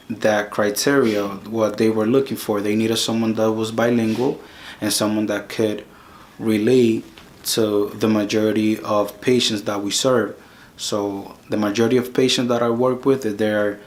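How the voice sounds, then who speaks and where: A man from the Dominican Republic with a Dominican Spanish accent, teaching an online course in a casual, halting way that repeats words. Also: fairly low pitch, slight background noise. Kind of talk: casual advice lesson.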